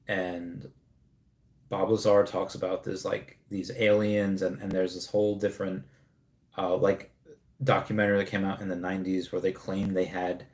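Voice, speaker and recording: Man in his thirties, streamer host, rambling casual delivery, slightly low voice, echoey room. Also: darkish tone. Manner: rambling, many restarts, laughs at comments. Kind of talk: casual livestream chat reading comments.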